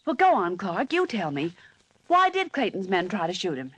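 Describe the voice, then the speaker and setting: A woman's voice: mid-range voice, wildly swooping pitch, fairly bright tone. An actress playing an outspoken, impatient American woman reporter in a 1940s radio drama, skeptical and exasperated. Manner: exasperated, dismissive, quick to interject.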